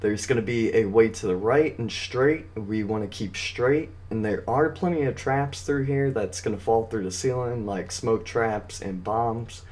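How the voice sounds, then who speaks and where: A man's voice: on the low side, measured pace, hardly any pauses, a little mellow. A man with a slightly scratchy voice hosting a casual, step-by-step video game walkthrough. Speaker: gaming video guide host.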